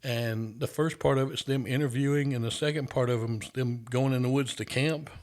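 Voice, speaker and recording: A man's voice: mid-range voice, fairly even intonation, moderate pace, darkish tone. A man from the United States hosting a casual chat show, easygoing and rambling, saying "y'all". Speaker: host.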